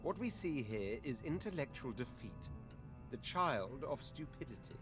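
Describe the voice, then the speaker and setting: A man's voice: medium pitch, very animated, unhurried, music in the background. A man playing a scornful, intellectual fantasy game adventurer who pronounces judgement haughtily.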